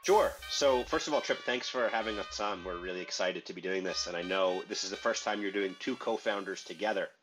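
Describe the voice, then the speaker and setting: Deep-voiced American man, conversational. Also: fairly quick, few pauses, music in the background, low-quality recording. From United States. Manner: relaxed, conversational, frequent uh and um. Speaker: startup co-founder, guest. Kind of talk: podcast interview.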